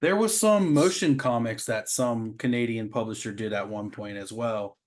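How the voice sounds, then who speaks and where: A man's voice: medium pitch, normal speed. Man chatting casually as an agreeable co-host. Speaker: podcast co-host. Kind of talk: casual comic book discussion.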